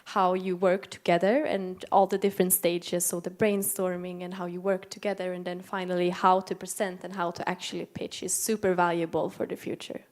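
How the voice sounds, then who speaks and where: A woman's voice: fairly low pitch, flat delivery, fairly quick, few pauses, darkish tone. A young woman student answering interview questions on an auditorium stage, earnest and reflective. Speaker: student contestant. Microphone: handheld mic.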